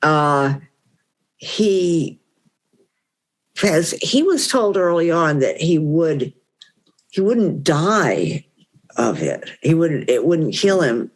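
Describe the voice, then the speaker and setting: A woman's voice: sits low, very animated, extremely slow, long pauses. An older woman, a guest on an interview podcast, telling fond stories in a chatty, rambling way. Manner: chatty, fond storytelling with many "you know"s. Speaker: guest, widow of a puppeteer. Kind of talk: casual reminiscing conversation.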